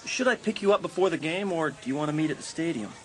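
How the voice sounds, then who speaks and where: A man's voice: fairly high pitch, expressive, fast, a little hiss or hum, natural voice. A man playing a casual, slightly awkward teenage boyfriend in a dramatised audiobook.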